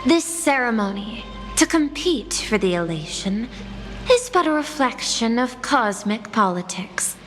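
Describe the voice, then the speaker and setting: Cool logical exec lady, game cutscene, really slow and precise, reverby with music under it. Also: fairly high pitch, sing-song. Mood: measured, challenging. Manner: formal, precise, detached. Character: cool, logical, poised female corporate executive. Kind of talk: scripted video game voice acting. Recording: long hall reverb, music behind.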